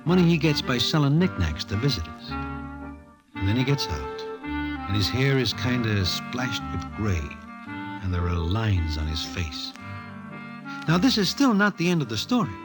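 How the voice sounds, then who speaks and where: An actor playing a streetwise New York crony who narrates a vintage radio drama in colloquial, deliberate present tense. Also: male voice, on the low side, big pitch swings. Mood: wry, knowing. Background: music.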